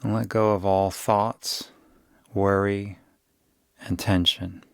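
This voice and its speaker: Male meditation guide: gravelly, soothing, unhurried delivery, very dry sound. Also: lowish voice, flat delivery, no room sound. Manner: soothing, unhurried, gentle instruction. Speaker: yoga nidra guide.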